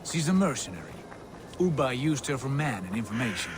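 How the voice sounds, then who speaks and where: An actor playing a confident Viking leader in a video game, composed and wry in manner. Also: male voice, mid-range voice, sing-song, brisk, noisy background, close to an everyday voice. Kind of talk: video game character acting.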